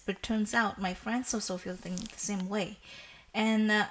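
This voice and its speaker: A young woman of nineteen from Vietnam hosts a student podcast at a middle pitch. Her delivery is steady and earnest, with a slightly halting, scripted feel. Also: creaky. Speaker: podcast host.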